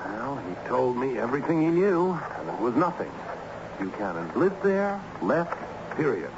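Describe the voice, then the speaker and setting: An actor playing a glum, wry schoolteacher in a theatrical radio drama style. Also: male voice, mid-range voice, sing-song, normal speed, background noise, close to an everyday voice. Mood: resigned. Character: glum, wry male schoolteacher drawn into a search. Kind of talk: American radio drama acting. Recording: muffled old radio recording with music.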